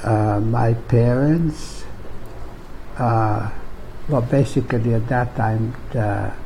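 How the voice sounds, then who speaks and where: A man in his eighties from Bulgaria, a scientist being interviewed, speaking slowly and haltingly with long pauses. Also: medium pitch, gravelly, warm tone, a little hiss or hum, poor audio. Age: 80s. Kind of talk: oral history interview. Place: university office.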